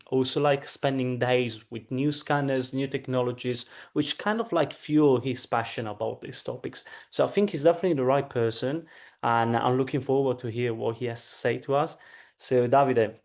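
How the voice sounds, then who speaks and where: A man's voice: medium pitch, gravelly. A man co-hosting an online webinar, informally introducing a guest speaker.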